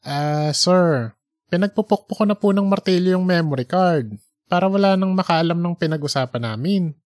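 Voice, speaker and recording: A man's voice: high-pitched, normal speed, darkish tone, no room sound, lightly acted. A man voicing an earnest young reporter who speaks politely and hesitantly, pleading with his boss in an audiobook story. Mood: apologetic, pleading.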